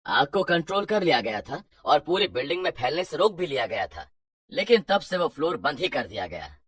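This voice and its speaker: Woman doing a low-voiced, brisk male broker. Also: sing-song, slightly rough, no room sound, studio quality, exaggerated voice. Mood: cautioning. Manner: matter-of-fact, bossy, dismissive. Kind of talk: animated horror story dialogue.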